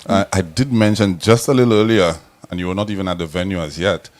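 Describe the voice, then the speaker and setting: A man's voice: low voice, fast. A man interviewing a guest on a live sports stream, chatty and full of restarts. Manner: chatty, hesitant with fillers and repeats.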